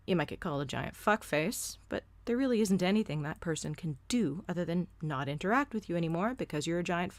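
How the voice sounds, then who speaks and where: A woman's voice: mid-range voice, darkish tone, no room sound. A woman delivering scripted opinion commentary in a blunt, sardonic manner, casually profane. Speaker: host of a solo commentary show.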